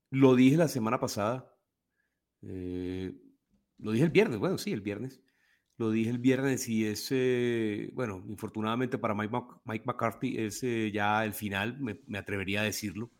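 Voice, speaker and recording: A man's voice: lowish voice, a little slow. A man with a Colombian Spanish accent co-hosting a sports podcast, talking animatedly and restarting his sentences often. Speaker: podcast co-host. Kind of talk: casual sports talk.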